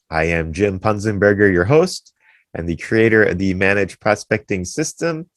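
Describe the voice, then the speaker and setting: Deep-voiced man hosting an interview. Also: no echo. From Wisconsin. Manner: friendly and easygoing, asks short questions. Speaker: podcast host. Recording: dry close sound.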